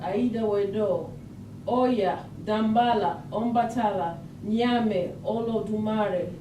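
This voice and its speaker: A woman's voice: medium pitch, recorded from a distance, natural voice. A woman on stage playing a solemn ancestral spirit grandmother, declaiming like an incantation in a ritual monologue. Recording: echoey room from afar, noisy.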